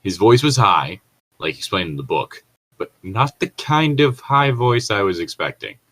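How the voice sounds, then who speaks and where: Low-voiced man, rambling and jokey. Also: sing-song, normal speed, a bit bright, husky, low-quality recording. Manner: rambling, jokey, chuckles at own remarks. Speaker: co-host reading stories aloud and commenting. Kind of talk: casual read-along with joking commentary.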